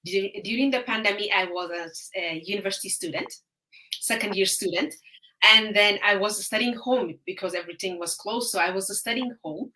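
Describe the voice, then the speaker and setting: A woman in her thirties from Ethiopia, a guest on a live-stream interview over a video call, speaking haltingly with many pauses and fillers. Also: medium pitch, pushed and bright, poor audio. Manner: hesitant, halting, frequent uh fillers.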